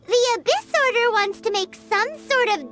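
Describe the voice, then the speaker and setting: Very high-pitched excitable little fairy. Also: female voice, normal speed. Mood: curious, puzzled. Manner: exclamatory, expressive, refers to self by name. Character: small excitable fairy companion, girlish, chatty and curious. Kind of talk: video game voice acting. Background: background music.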